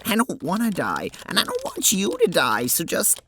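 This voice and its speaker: A woman's voice: on the low side, huge pitch swings, moderate pace, pushed and bright, a little hiss or hum, full character voice. A woman playing an irritable, blunt, foul-mouthed girl in an audio drama. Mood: exasperated, angry. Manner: blunt, exasperated, swearing. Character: irritable, foul-mouthed girl shaken by her strange dreams. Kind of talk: audio drama character acting.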